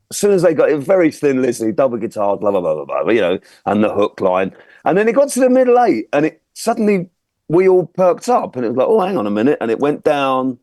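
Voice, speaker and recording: A man's voice: medium pitch, a little mellow, no room sound, studio quality. A man giving an informal music critique as a record producer, blunt and colloquial.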